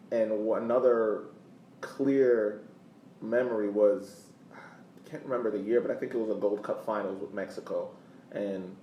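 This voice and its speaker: A man's voice: on the low side, darkish tone. A middle-aged man co-hosting a podcast, reminiscing casually about sport and repeating phrases for emphasis. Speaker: podcast co-host. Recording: roomy, distant mic, faint noise.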